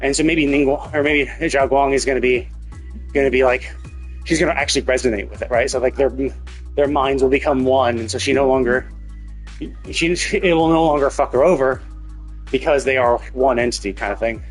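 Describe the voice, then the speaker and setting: A man's voice: mid-range voice, fairly even intonation, brisk, rough recording. A man streaming a game, giving casual, rambling commentary as he thinks aloud and swears freely. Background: game music.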